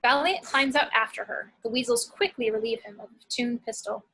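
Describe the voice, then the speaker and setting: A woman's voice: a little high, fairly quick, pushed and bright, breathy edge, rough recording. A woman narrating action descriptions from a script at an amateur table read.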